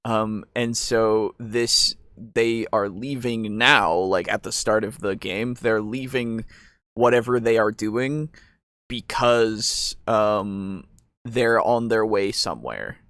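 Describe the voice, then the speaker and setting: Bright-voiced man rambling casually, close and dry. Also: on the low side, no room sound. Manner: halting, rambling, agreeable. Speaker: podcast host. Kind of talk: casual chat about tabletop role-playing.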